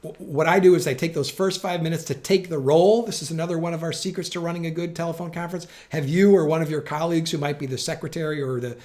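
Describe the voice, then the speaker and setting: A man's voice: on the high side, fairly even intonation, fast talker, few pauses, a little mellow. A middle-aged man presenting practical tips in an organized, plain-spoken explainer style, stressing his key points. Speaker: presenter giving communication tips. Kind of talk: instructional explainer to camera.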